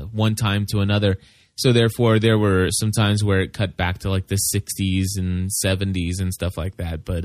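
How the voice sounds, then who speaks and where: A man's voice: fairly low pitch, dry recording, clean studio sound. A man leaving recorded feedback, an informal movie review that he thinks through aloud and hedges.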